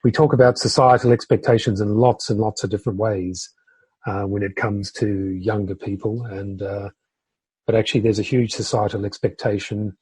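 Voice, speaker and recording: 50s guy from the uk, very husky low voice, mellow, dry close sound, thinks out loud. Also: no room sound, low-quality recording. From United Kingdom. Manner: thoughtful, hesitant, with fillers. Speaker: podcast guest, entrepreneur. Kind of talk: conversational interview.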